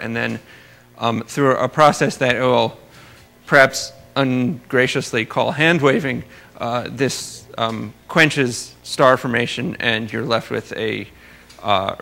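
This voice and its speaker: A man with a bright, forceful voice and frequent vocal fry, presenting research in an academic lecture. His pitch sits in an ordinary male range. He speaks haltingly, often rewording mid-sentence. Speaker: astrophysics researcher presenting at a conference. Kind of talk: academic lecture talk with slides.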